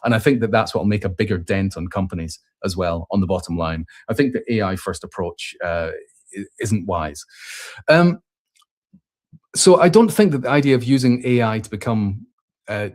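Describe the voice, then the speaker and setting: Chatty man thinking aloud with restarts. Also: fairly low pitch. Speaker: host. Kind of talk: casual spoken commentary.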